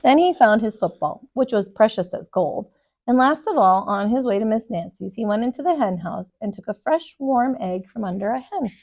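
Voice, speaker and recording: Female children's storybook narrator, higher pitch, deliberate and emphatic delivery, expressive read-aloud. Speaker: storybook reader, kindergarten teacher.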